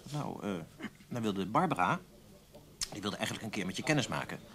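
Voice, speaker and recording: A man's voice: on the low side, expressive, fairly quick, fairly bright tone. An actor in a radio play playing an indignant, self-justifying male newspaper columnist who talks hesitantly and stammers. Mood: sheepish. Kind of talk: naturalistic radio play dialogue.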